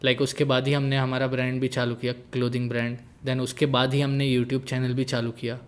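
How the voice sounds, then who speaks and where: A man's voice: medium pitch, flat delivery, brisk. A man in his late twenties, a guest in a casual conversation, talking in an easygoing, joking way and slipping English phrases into his sentences. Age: 20s. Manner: jokey, easygoing, mixes English into Hindi.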